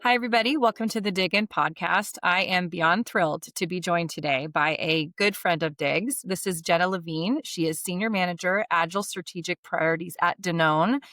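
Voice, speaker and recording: A woman's voice: on the low side, brisk, hardly any pauses, a bit bright, no room sound, clean studio sound. A woman hosting a podcast interview, upbeat and enthusiastic as she welcomes and questions her guest. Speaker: podcast host.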